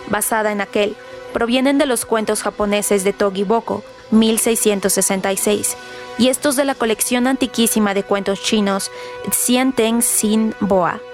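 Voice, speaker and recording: A woman reading scripted literary trivia in a measured way, as a radio presenter. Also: medium pitch. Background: background music.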